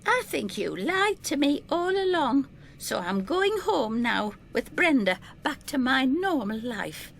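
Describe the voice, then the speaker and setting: Casting: narrator as elderly daughter figure, raised pitch, slow and plaintive, wistful dignity, audio drama. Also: female voice, big pitch swings, gravelly, a little hiss or hum, full character voice. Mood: determined. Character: wistful old woman, dignified witch's daughter.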